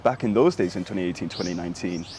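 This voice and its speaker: A young man from Sudan answering interview questions in a thoughtful, halting way with many pauses and fillers. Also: sits low, warm tone, slight background noise. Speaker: interviewee, human rights activist.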